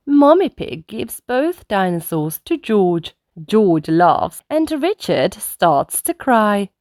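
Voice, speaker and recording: A woman reading a children's story aloud in an animated manner aimed at young children. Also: mid-range voice, slow pace, dry recording, studio quality.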